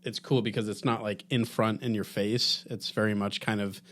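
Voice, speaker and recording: A man's voice: fairly low pitch, little pitch movement, moderate pace, a bit bright. A man speaking casually as a panelist in a group podcast discussion.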